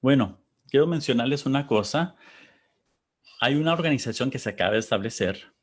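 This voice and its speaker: List: man, Texan, conversational panel host, thoughtful, hesitant, average pitch. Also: animated. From Texas. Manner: thoughtful, searching for words, pauses. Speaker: panel host reading audience comments. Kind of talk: casual reflective talk.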